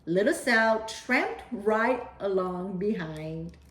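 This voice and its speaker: A woman's voice: mid-range voice, expressive, slow, a bit bright. A woman storyteller reading a children's picture book aloud. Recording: roomy echo.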